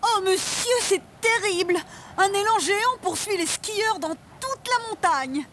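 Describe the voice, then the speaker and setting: A woman's voice: extremely high pitch, unhurried, fairly bright tone, slight background noise. A woman playing a flustered, worried ski lodge manageress in a plaintive, dramatic way for a storybook dub. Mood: distressed. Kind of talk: storybook read-along dub.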